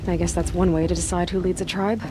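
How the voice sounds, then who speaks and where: An actress playing a determined young outcast hunter who asks direct, probing questions. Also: female voice, lowish voice, little pitch movement, quick pace, music in the background, natural voice. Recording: game audio with ambient noise.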